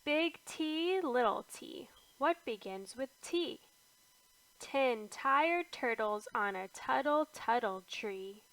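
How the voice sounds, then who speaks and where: A woman reads an alphabet picture book aloud for toddlers, her high voice rising on each capital letter and sinking to a near hush on the repeats. She takes everything very slowly, with lots of space between words. The sound is close and dry, with no room around it. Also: expressive, dry recording, poor audio. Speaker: children's book reader. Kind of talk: slow read-aloud for toddlers.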